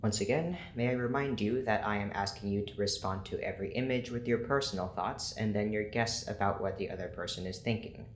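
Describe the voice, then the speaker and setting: A man plays a strict male scientist in an audio drama, supervising with clipped formality. His voice sits fairly low in a very roomy space, with a faint layer of noise. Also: fairly quick, few pauses, natural voice. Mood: controlled, insistent. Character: stern, formal male scientist running an experiment. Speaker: experiment proctor.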